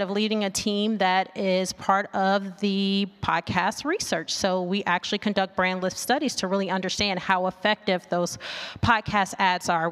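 A woman's voice: mid-range voice, fairly bright tone, clean tone. A woman giving an informal conference presentation in a conversational way, asking rhetorical questions and checking in with the listeners. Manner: conversational, asks rhetorical questions, often adds "right?". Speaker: conference speaker, marketing research executive. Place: large indoor conference hall. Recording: long hall reverb from venue sound system.

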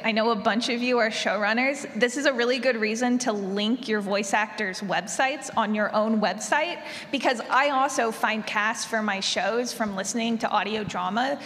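A woman's voice: a little high, a bit bright. A woman speaking informally and emphatically as a producer on a panel. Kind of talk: casual panel talk. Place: large indoor convention panel room. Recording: reverberant noisy conference room.

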